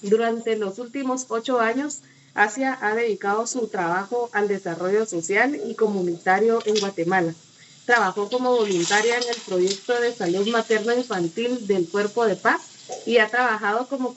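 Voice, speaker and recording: A woman student host reads a formal introduction of her guest in a polite, prepared way. Her voice sits mid-pitch. The call line sounds dull, with faint noise behind it. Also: moderate pace.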